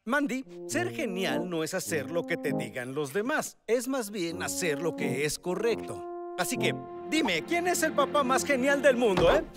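Kindly proud cartoon dad, latin american spanish, swoopy voice, loud music under it. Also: male voice, high-pitched, big pitch swings, a little slow. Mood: fond. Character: kindly, self-pleased tinkering father. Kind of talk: children's cartoon dub.

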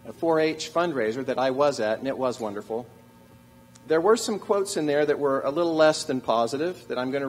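A man in his sixties moderating a town hall panel on a theater stage, talking in a joking, conversational way into a stand mic. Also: medium pitch. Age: 60s.

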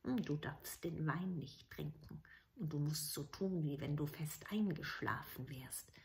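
A woman voicing a kindly, sly old woman in a fairy tale, in a hushed, knowing manner. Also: low-pitched, unhurried, pitched below the performer's own voice.

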